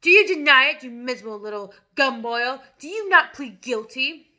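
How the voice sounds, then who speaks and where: A woman voicing a bullying female headmistress, barking and bellowing insults in a slow, loud voice. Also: high-pitched, expressive, voice pushed up, heavily acted.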